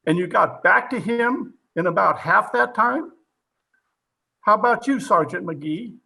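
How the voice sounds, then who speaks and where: An actor playing a hard-nosed, sarcastic police captain in an old-time radio drama, interrogating forcefully and full of disbelief. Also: male voice, sits high, lively intonation, unhurried, vocal fry, slightly rough, exaggerated voice. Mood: accusing.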